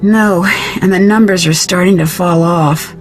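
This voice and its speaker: An actress playing an earnest, persistent young detective in a video game, speaking in a plain, questioning way. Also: female voice, on the low side, fairly even intonation, measured pace, close to an everyday voice. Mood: concerned. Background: background music.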